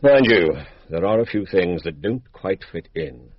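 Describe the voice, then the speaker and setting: A blunt, low-voiced English police inspector. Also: male voice, lively intonation, measured pace, breathy edge, no echo, heavily acted. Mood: matter-of-fact. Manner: matter-of-fact, chatty, assertive. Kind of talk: radio drama acting. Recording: dry, dull lo-fi radio recording.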